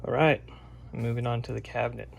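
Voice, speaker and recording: A man giving a casual, unscripted, step-by-step narration of hands-on repair work, with a gravelly, creaky voice. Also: medium pitch, fairly even intonation, a little fast. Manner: plain-spoken, unscripted step-by-step explaining. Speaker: radio restorer presenting a repair vlog.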